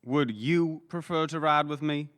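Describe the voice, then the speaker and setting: An actor playing an aloof, courteous male elf in a fantasy audio drama, formal and measured. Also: fairly high pitch, a bit bright. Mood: polite, reluctant.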